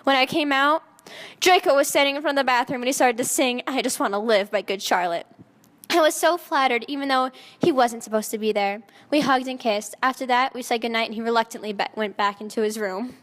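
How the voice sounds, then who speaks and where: A woman's voice: a little high, brisk, a bit bright. A woman narrating fan fiction in a mock-dramatic style, often close to giggling at the text. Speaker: narrator and reader. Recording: long echoing reverb.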